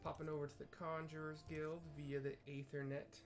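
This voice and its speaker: A man's voice: fairly high pitch, level tone, moderate pace, soft background music. A man with an American accent casually narrating a video game playthrough, describing scenes in a hesitant, rambling way. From US Pacific Northwest.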